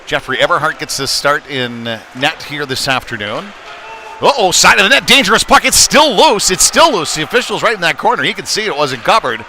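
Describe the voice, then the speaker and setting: A man's voice: on the high side, animated, a little fast. A man doing live play-by-play hockey commentary with folksy, hesitant phrasing from inside an ice arena. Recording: live rink broadcast with loud background noise. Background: loud arena ambience.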